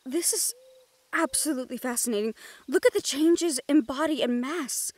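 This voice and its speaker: A woman's voice: sits high, moderate pace, a little mellow, no echo, close to an everyday voice. A woman playing a foul-mouthed, protective young woman in an audio roleplay, brash and curious. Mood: excited. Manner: swears freely, brash and eager.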